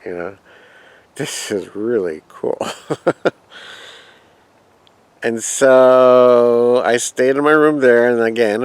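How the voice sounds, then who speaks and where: A man's voice: on the low side, creaky. A man casually telling a rambling personal travel story, reminiscing and quoting his own past thoughts. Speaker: vlogger.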